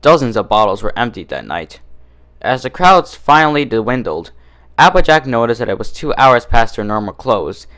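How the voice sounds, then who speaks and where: A man's voice: on the low side, a bit bright. A man reading a story aloud as scripted narration. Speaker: fan fiction reader.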